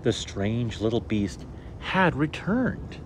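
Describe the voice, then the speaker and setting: A male storyteller reads a children's picture book with slow, deliberate phrasing. His pitch moves in lively, theatrical swings. Steady noise sits behind his voice. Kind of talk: expressive picture-book read-aloud for children.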